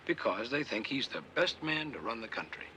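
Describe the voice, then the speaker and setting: An American man playing a patient, gently amused father of a young boy in a naturalistic TV sitcom, answering indulgently with hesitant pauses. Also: mid-range voice, sing-song, lightly acted. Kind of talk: naturalistic television sitcom acting. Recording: older TV soundtrack, faint background noise.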